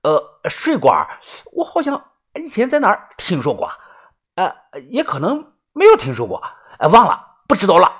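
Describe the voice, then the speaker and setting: A narrator puts on a simple young country man for an audiobook. The voice sits raised and a bit husky, with an eager, earnest manner and hesitant little openings. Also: medium pitch, wildly swooping pitch, moderate pace, pitched above the performer's own voice. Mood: puzzled.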